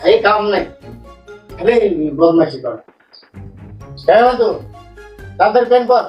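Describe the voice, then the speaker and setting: A man's voice: sits high, expressive, unhurried, muffled recording, soft background music. A man playing a stingy, grumbling old village grandfather in a comedy skit, scolding and repeating himself in a North Bengal accent. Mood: scolding, impatient. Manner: repetitive, scolding, exclamatory. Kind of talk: amateur comedy skit acting.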